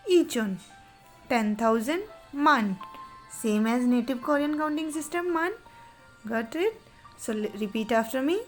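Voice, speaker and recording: A woman's voice: fairly high pitch, darkish tone. A woman teaching a beginner language lesson, going patiently through counting drills, repeating each word and correcting her own slips. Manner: patient, repeats words slowly, corrects herself.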